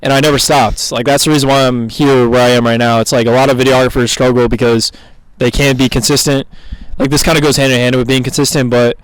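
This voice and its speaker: A man's voice: medium pitch, little pitch movement, fast talker, few pauses, rough recording. A man of twenty, a videographer and co-host, talking in a casual, loose way, full of "like" and "bro". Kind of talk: casual chat.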